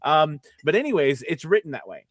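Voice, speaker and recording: A man's voice: fairly high pitch, very animated, a bit bright, no room sound. Rambling man chatting on a dry mic. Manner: rambling, halting, self-correcting. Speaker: voice actor co-hosting a livestream. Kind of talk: casual livestream chat.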